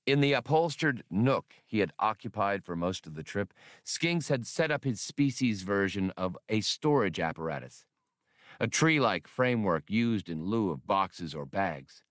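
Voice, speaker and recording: Male fiction narrator: animated intonation, close dry sound, scripted audiobook storytelling. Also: on the low side, dry recording.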